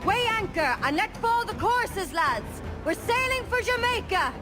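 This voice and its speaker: A brisk young female pirate quartermaster in a video game. Her voice is very high and lively, rising and falling freely. She sounds bold and used to calling orders on deck, with strong music underneath. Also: heavily acted. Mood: brisk, hearty.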